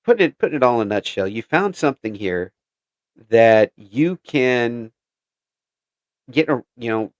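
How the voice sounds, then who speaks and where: A man's voice: medium pitch, expressive, unhurried, pauses often, no echo, dull audio. Chatty man hosting an interview, dry sound. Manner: chatty, laughs often, trails off and restarts. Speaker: interview host. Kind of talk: casual interview chat.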